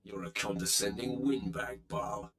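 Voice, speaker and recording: An arrogant dark-side warrior from a sci-fi game. His deep voice rings with echo, forceful and curt, swinging into mockery and threat. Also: lively intonation, measured pace. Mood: threatening. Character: brutal, arrogant Sith warrior, curt and mocking. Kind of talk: video game voice acting. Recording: reverberant, echoing voice.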